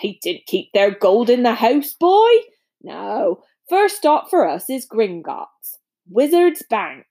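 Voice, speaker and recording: A woman voicing a big, friendly, gruff giant man with colloquial, rustic speech in a storybook reading. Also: fairly high pitch, big pitch swings, slow, dark tone, heavily acted. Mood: hearty, reassuring. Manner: colloquial, dropped words, grunting.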